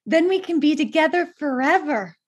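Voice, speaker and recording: An actress playing a seductive, insistent mistress in a radio play. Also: female voice, sits high, expressive, moderate pace. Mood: pleading, insistent.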